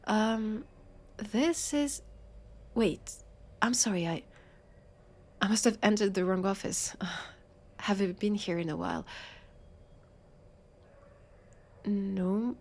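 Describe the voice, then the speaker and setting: A woman with a French accent playing a brusque, sarcastic vampire detective in a scripted audio roleplay, with halting, flustered delivery. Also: mid-range voice, a little mellow. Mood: awkward, confused.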